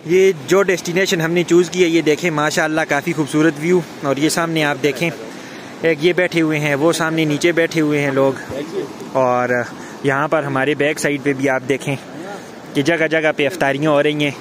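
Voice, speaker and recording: A young man talks straight to his viewers in a relaxed, chatty travel-vlog way, his voice sitting a little high. He holds the camera at arm's length, and loud outdoor noise from the road and open air runs under his words. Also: normal speed. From Pakistan. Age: young adult. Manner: chatty, addresses viewers directly. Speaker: vlogger. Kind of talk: casual travel vlog narration. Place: outdoors, in a car and on a street and riverbank. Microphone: phone or camera at arm's length. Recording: noisy outdoor phone recording.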